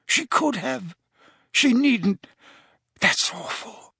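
Guy doing a tipsy teary old potions master, fretful, higher and kinda breathy. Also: lively intonation, extremely slow, pushed and bright, no room sound, studio quality, heavily acted. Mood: awkward, shaken. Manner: emotional, hiccuping, fretful. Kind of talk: audiobook character voice.

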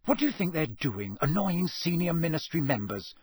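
A man's voice: high voice, big pitch swings, a little fast, husky, no room sound, studio quality, pitched above the performer's own voice, heavily acted. A man voicing a pompous, fussy, self-important young man in an audiobook. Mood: disapproving.

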